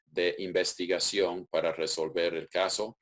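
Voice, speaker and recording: Guy, very low voice, calm methodical webinar explainer on a call. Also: a little slow. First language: English. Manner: methodical, steady explaining with occasional restarts. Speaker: webinar presenter.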